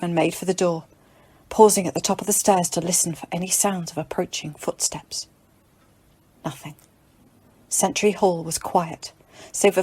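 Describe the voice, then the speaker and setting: A woman's voice: mid-range voice, a little mellow. A woman narrating scripted dramatic fiction as an audiobook reader.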